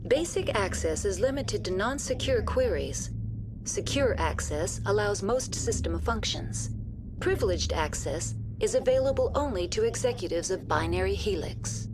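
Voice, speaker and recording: Game facility AI, voiced by a woman: polite, procedural, unemotional, melodic pitch movement, clear background noise. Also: animated, moderate pace, natural voice. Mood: polite, impassive. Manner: formal, procedural, emotionless. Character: calm polite female virtual intelligence running a research facility. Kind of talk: scripted game character dialogue.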